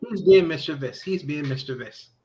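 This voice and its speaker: Emphatic man with a slightly high voice. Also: normal speed, dark tone, breathy edge. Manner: emphatic, repeats his points, frequent restarts. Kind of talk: opinionated livestream discussion.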